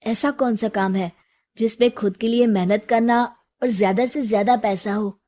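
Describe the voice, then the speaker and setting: A woman's voice: a little high, steady tone, normal speed, dark tone, natural voice. A woman voicing an earnest, restless young man in a storybook tale. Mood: pondering.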